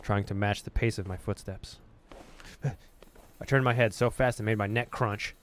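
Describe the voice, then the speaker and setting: A man reading a horror visual novel aloud as a gaming host, with expressive storytelling and casual delivery. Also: lowish voice, measured pace.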